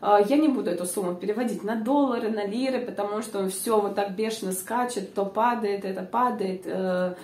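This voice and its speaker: A young woman with a hoarse voice, chatting casually to her viewers with lots of filler sounds, recorded on a lapel mic in a small room. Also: mid-range voice, brisk, few pauses, darkish tone, echoey room, recorded from a distance, a little hiss or hum. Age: young adult. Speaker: vlogger. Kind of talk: casual informative vlog.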